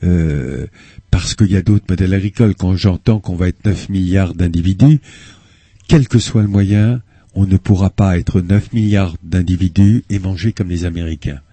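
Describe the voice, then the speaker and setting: Older man, dark husky voice, measured. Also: deep voice, no echo. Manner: measured, hesitant with frequent "euh", explanatory. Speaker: spokesman of an environmental association, radio guest. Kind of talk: earnest radio interview answers. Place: radio studio. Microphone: studio microphone.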